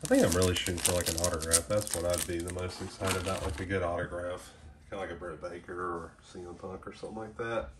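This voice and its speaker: A man with a very low, stuffed-up nasal voice from a head cold talks loosely and rambles. He reads card names aloud close to a camera mic, with loud wrapper crinkling all around him. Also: fairly even intonation, fast, background noise. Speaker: card collector unboxing trading cards. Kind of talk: casual unboxing commentary. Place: indoors at a small table, close to the camera.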